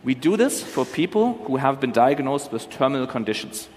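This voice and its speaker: A man's voice: medium pitch, big pitch swings, normal speed, a little hiss or hum. A man explaining things as a conference panel speaker, in a careful, qualified manner. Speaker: panel speaker, cryopreservation company representative. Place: large conference hall. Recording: reverberant hall sound.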